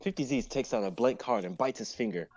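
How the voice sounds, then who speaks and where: A man's voice: medium pitch, brisk, darkish tone. A young man narrating stage directions in a live amateur script reading. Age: young adult.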